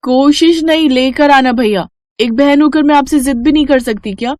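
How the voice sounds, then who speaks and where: A woman's voice: high-pitched, moderate pace, a little mellow, dry recording, clean studio sound, pitched above the performer's own voice, natural voice. A woman voicing an eager, childishly stubborn young village sister in a cartoon story, with a wheedling, emphatic delivery. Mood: insistent.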